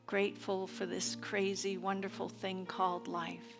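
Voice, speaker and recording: Woman minister, sermon and prayer delivery, reverent and unhurried, long pauses, soft music behind. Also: mid-range voice, flat delivery, vocal fry. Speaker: minister leading a service. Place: church service.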